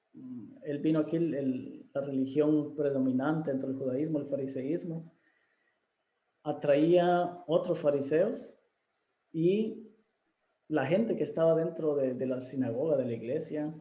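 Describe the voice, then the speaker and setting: A man's voice: fairly high pitch, unhurried, mellow, reverberant. A man hosting a video interview, speaking casually with many fillers and restarts. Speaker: interview host.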